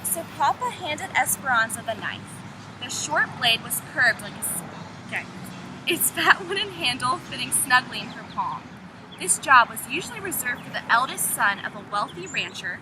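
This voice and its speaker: Woman teacher reading aloud in outdoor noise. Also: on the high side. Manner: addresses young listeners with questions and prompts. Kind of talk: storybook read-aloud to children. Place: outdoors in the open. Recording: noisy windy outdoor recording with traffic.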